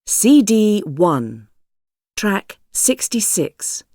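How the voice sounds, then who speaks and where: Very slow, mellow woman announcer. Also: medium pitch, big pitch swings, dry recording, studio quality. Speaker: course narrator. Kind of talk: announcing track numbers.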